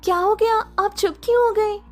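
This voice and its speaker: A woman voicing a kind, earnest young village wife in an animated moral story. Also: very high-pitched, moderate pace, faint music behind, pitched above the performer's own voice. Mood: curious, concerned.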